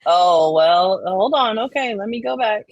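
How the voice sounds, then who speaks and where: A woman bantering casually and reading online comments out loud in a blunt, sweary, folksy way. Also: medium pitch, animated, measured pace, dry recording, lo-fi sound. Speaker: co-host reading comments aloud.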